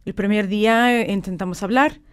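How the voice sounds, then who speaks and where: A woman from California, a non-native speaker with English as her first language, explaining in a measured way as a guest on a morning radio interview, with frequent filler words. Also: medium pitch, clean tone. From California, United States. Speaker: guest, polyglot author.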